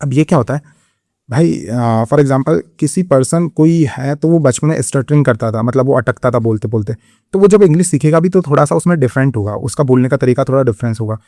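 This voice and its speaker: A man with an Indian accent lectures like a coaching-class teacher, explaining step by step and pausing to check understanding. His voice is dark and mellow, recorded close and dry with no room sound. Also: medium pitch, no room sound. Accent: Indian English. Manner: explanatory, repeats "theek hai" to check understanding. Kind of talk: exam-prep lecture.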